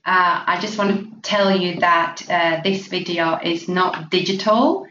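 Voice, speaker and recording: A woman from Latvia presenting an investment opportunity, speaking halting full of fillers. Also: fairly low pitch, pushed and bright. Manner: halting, many fillers, non-native grammar. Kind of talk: promotional presentation. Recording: echoey, distant, dull lo-fi with faint noise.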